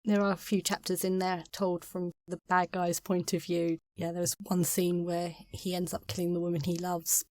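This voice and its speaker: A woman's voice: fairly low pitch, completely flat delivery, normal speed, few pauses, a little mellow, dry recording. A British woman speaking as a guest author, explaining things informally in a casual interview.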